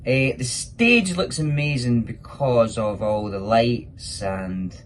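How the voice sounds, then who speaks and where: A man's voice: mid-range voice, slow, slight background noise. A Scottish man, a pantomime actor, answering children's questions in a casual, enthusiastic, informal way.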